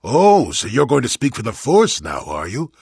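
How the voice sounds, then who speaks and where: A man playing a crotchety, wry old hermit in a video game, with a gravelly, husky voice and a sardonic storyteller's manner. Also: mid-range voice, huge pitch swings, normal speed, a little mellow, dry recording, clean studio sound, exaggerated voice. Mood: defensive, sardonic. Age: older. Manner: cantankerous, sardonic, rambling storyteller. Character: crotchety old male hermit, former Jedi, wry and weary. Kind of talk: video game character acting.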